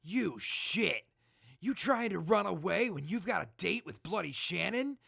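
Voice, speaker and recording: A sneering wasteland raider thug. His voice is creaky and fairly high, swinging widely as he taunts and barks in audio drama style. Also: animated, a little slow, sharp tone, no echo, studio quality, exaggerated voice. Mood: menacing, taunting. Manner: taunting, barking. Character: sneering, brutish male raider henchman. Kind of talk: post-apocalyptic audio drama acting.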